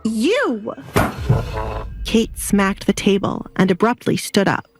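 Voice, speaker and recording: A woman's voice: medium pitch, fairly even intonation, normal speed. A woman reading scripted narration for a romance audio drama.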